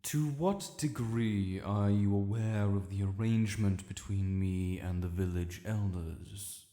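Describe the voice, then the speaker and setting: In a long, cavernous reverb, an ancient dragon lord played by a man speaks slowly with a British accent. The voice is low and stately, proud and honorable, with the formal bearing of a stern guardian in a fantasy audio drama. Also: dark tone, natural voice. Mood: grave, stately. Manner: formal, proud, measured and imperious. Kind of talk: fantasy audio roleplay addressed to the listener.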